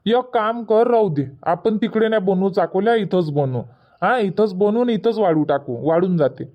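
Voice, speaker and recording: Cartoon village boy, played by a man: high, whiny, stubborn, Varhadi Marathi accent, muffled lo-fi recording. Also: moderate pace, natural voice. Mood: argumentative. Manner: whiny, argumentative. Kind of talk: cartoon comedy dialogue. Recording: dull, muffled lo-fi audio.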